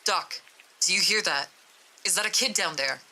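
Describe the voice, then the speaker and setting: A woman's voice: fairly low pitch, normal speed, pushed and bright, full character voice. A woman voicing a reluctant, uneasy man in a horror story read-aloud, hesitant and informal. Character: reluctant, uneasy man sent down a dark stairwell.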